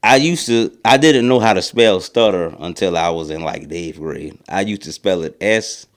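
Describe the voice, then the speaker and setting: A young man with a deep, low voice, speaking in a casual podcast chat. He rambles through his stories at an easy pace and stutters now and then. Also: normal speed. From rural American South. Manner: laid-back, rambling storytelling with a mild stutter. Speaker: podcast co-host.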